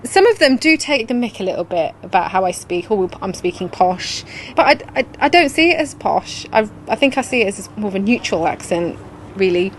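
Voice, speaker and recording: A woman's voice: medium pitch, very animated, fairly quick, slight background noise. A woman from Leicester, reflecting thoughtfully on how she speaks. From Leicester, England. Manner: thoughtful, self-questioning. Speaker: interviewee. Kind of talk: reflective interview answers.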